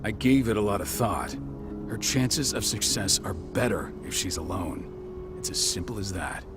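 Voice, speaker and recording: An actor playing a stern, resolute pack leader in a video game cutscene, speaking in terse commands. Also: male voice, lowish voice, expressive, a little slow. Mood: firm, commanding. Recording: loud music behind the voice.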